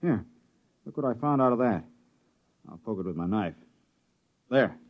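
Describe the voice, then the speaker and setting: Excitable male space-crew biologist, 1950s radio sci-fi. Very animated, astonished, halting; dull vintage recording. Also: lowish voice. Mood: curious, amazed. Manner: exclamatory, halting with surprise. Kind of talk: 1950s radio drama acting.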